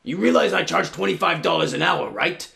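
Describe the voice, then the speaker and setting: A man's voice: on the high side, expressive, a little fast, vocal fry, pushed and bright, full character voice. Man as a haggling, businesslike wolf. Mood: wry, haggling. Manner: casual, haggling, insistent. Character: nice, businesslike male wolf running a daycare. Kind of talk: live folktale storytelling.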